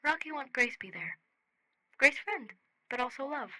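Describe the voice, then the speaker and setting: Girl doing a sweet eager alien buddy, high n super bright, choppy broken english, podfic character. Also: expressive, normal speed, no room sound, heavily acted. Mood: affectionate. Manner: clipped broken grammar, repeats words.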